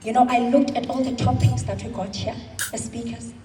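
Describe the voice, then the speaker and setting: South African woman, thirties, emphatic motivational speaker, slow dramatic pauses, distant from the mic, long hall reverb. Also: on the high side, steady tone, dark tone, soft background music. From South Africa, Eastern Cape. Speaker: convention speaker. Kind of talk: motivational speech. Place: large hall.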